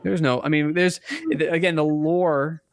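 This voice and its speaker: Slightly higher-voiced man chatting casually. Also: fairly even intonation, normal speed, clear, resonant tone. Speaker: podcast co-host. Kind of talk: casual conversational video game chat.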